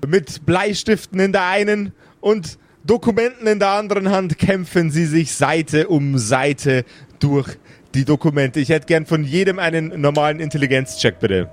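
A man's voice: fairly high pitch, moderate pace. A man hosting and narrating an improvised tabletop role-playing audio show as game master. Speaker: game master and podcast host.

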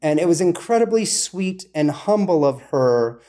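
A man with a soft-edged, mellow voice pitched a little high talks as a podcast guest. His delivery is informal and conversational, halting and doubling back to correct himself. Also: expressive. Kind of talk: casual conversational storytelling.

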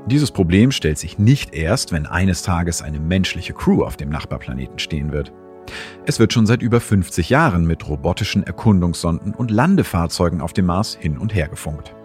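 A man's voice: low voice, animated, normal speed, a little mellow, soft background music. A man narrating a scripted science explainer in as the presenter.

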